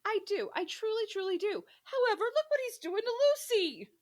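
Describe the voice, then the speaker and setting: A woman's voice: very high-pitched, sing-song, fairly quick. A woman co-hosting a podcast, chatting casually as a fan, with theatrical mock indignation and playful sarcasm. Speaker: podcast co-host. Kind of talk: casual fan chat about a classic novel.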